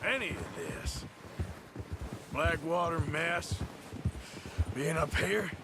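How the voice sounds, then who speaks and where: A man's voice: fairly high pitch, takes it slowly, noisy background. A man playing a loyal, plain-spoken American Old West outlaw in a video game, with a folksy drawl. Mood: reflective. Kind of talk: video game character dialogue. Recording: game audio under loud music. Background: loud music.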